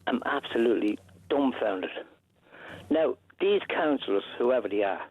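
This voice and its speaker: A man's voice: medium pitch, animated, normal speed, no echo. A man from Ireland, phoning in to a radio show, indignant and emphatic, repeating himself. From Northern Ireland. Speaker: caller. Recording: dry, tinny phone line.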